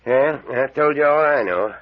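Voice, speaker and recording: A man's voice: mid-range voice, normal speed, close to an everyday voice. Guy doing a grumbly old small town doctor, folksy, old radio show, muffled audio. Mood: defensive. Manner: defensive, folksy, querulous. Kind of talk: old-time radio mystery serial acting.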